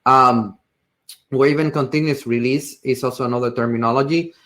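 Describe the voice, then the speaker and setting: A man from Puerto Rico presents technical material with a Puerto Rican accent, Spanish being his first language. His pitch sits in an ordinary middle range. He speaks informally and feels his way through sentences with hesitant, broken phrasing. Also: steady tone, normal speed, a bit bright. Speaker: tech presenter.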